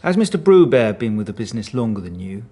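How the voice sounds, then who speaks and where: An actor playing a patient, dryly sceptical police detective in a radio drama, questioning in a measured, understated way. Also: male voice, lowish voice, very animated, warm tone, slightly rough. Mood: probing.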